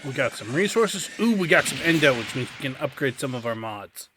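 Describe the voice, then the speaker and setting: A man's voice: mid-range voice, very animated, fairly quick, noisy background. A man giving a casual game walkthrough, explaining things as he goes and thinking aloud. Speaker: gameplay guide host.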